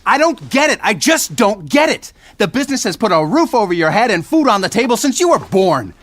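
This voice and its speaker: Blunt, exasperated dad, animated delivery. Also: male voice, high voice, a little fast, no echo, exaggerated voice. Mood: exasperated anger. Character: gruff, pushy middle-aged father, easily exasperated. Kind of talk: audio drama acting.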